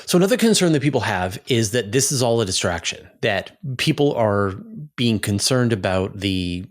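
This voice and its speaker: Low-pitched male interviewer, thoughtful and halting. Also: animated. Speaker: host, interviewer. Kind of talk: casual conversational interview.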